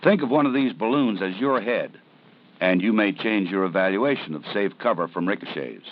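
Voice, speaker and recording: Low-voiced man narrating an old training film. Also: lively intonation. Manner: measured, instructive, serious. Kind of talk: scripted documentary narration. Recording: dull 1970s film soundtrack with faint hiss.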